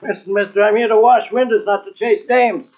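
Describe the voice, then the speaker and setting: A man acts a brusque, slangy working-class window washer in an old radio drama. His voice is extremely high for a man and irritated. It comes through tinny and narrow, like a phone line. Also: steady tone, a little fast, lightly acted. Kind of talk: old-time radio mystery acting.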